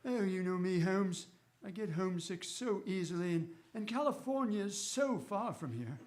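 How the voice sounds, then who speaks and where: Man acting a lilting, bewildered gentleman. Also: sits high, lively intonation, normal speed. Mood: polite, fretful. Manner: fussy, hesitant, polite. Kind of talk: radio drama acting.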